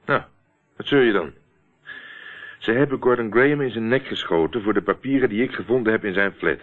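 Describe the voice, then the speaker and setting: Actor as low-voiced, assertive investigator, old radio play. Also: male voice, a little fast, lightly acted. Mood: firm, impatient. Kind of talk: Dutch radio drama acting. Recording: muffled, band-limited old radio play.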